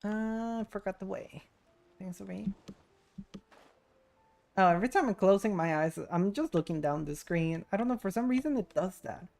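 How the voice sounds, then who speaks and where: A woman's voice: mid-range voice, normal speed, creaky, long pauses, darkish tone. A woman livestreaming a game with casual, rambling, giggly chat. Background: game music.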